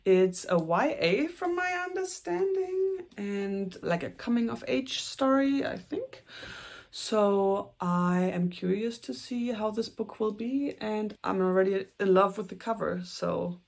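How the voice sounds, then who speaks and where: A young woman with a German accent, chatting earnestly to camera about books she plans to read, with a few soft, self-conscious laughs. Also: medium pitch, expressive, measured pace, gravelly. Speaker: booktuber. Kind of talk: casual book talk to camera.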